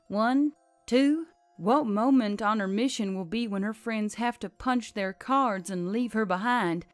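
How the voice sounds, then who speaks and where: A woman's voice: medium pitch, dry recording. A woman reading scripted fiction as an audiobook narrator. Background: background music.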